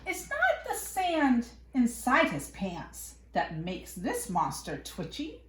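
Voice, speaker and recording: A woman reading a picture book aloud to young children in an expressive, playful way with dramatic emphasis. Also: on the high side, slow pace, slight background noise. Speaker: children's storyteller. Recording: echoey room.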